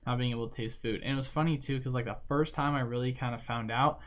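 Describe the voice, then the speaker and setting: A man's voice: mid-range voice, fairly even intonation, fast talker, a bit bright. A young man hosting a livestream, chatting casually and rambling with lots of fillers and slang while he plays a game. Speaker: livestream host. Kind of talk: casual chat while gaming.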